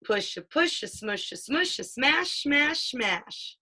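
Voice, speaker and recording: A mother reading a children's picture book aloud, with sing-song storytelling and drawn-out sound words. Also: sits high, big pitch swings, pushed and bright. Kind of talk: children's read-aloud with questions.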